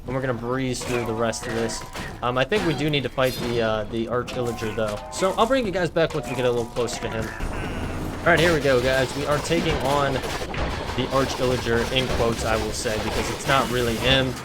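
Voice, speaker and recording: A man casually commentating his video game play for viewers, chatty and slightly hoarse from talking all day. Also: mid-range voice, a little fast. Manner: chatty, addresses viewers as guys, frequent fillers. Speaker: gaming video creator. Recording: game music loud behind voice. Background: game music and combat sounds.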